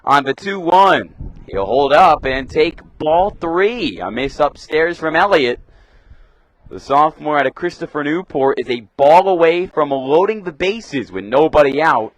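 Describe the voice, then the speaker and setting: A man's voice: a little high, expressive, measured pace, dry recording, poor audio. A young man doing live radio baseball play-by-play commentary, describing the action in short clipped phrases. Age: young adult.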